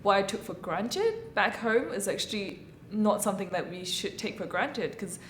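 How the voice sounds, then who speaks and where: A young woman talks in a chatty, animated way, as if she is answering a friend's questions. Her voice is mid-pitched for a woman and echoes in a roomy space. A faint background hum sits under it. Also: normal speed. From Singapore. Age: young adult. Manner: chatty, animated, laughs easily. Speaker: international student interviewee. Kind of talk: casual interview answers.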